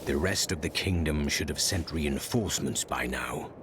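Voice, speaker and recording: A man's voice: extremely low pitch, moderate pace, background noise. A man playing a grave, commanding warrior hero in a video game, speaking tersely and formally. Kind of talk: video game cutscene acting.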